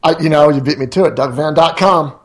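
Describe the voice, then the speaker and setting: A man's voice: a little high, brisk. A man from central Connecticut co-hosting a podcast, asking guests friendly, joking follow-up questions in a casual way. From central Connecticut, USA. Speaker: podcast co-host. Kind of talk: casual interview chat.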